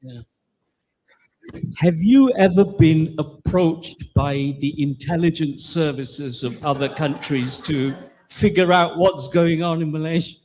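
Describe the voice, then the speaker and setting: A man's voice: fairly high pitch, slow, darkish tone, low-quality recording. A man from the audience asking a playful, teasing question.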